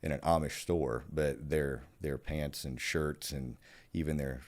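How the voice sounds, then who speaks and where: Low-voiced guy w/ pennsylvania dutch accent, talks slow and careful, lots of ums. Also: steady tone. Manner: thoughtful, halting, with frequent fillers and restarts. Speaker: podcast guest. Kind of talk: conversational podcast interview.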